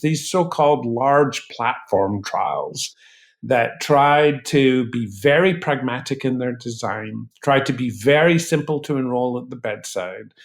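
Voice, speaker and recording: A man speaking as an expert interview guest, explaining in emphatic, articulate, measured phrases. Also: mid-range voice. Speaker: physician researcher, interview guest.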